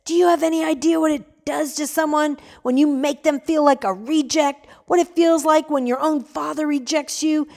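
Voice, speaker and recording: A woman's voice: high-pitched, very animated, moderate pace, hardly any pauses, voice pushed up. A woman voicing an enraged young man in his early twenties who screams and accuses. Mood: furious.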